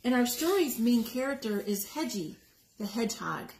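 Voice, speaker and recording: High, animated woman reading a picture book. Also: normal speed. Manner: expressive, explaining, asking the listener questions. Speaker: story time reader. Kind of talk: picture-book read-aloud for young children, with asides on the pictures. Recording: echoey room with background noise.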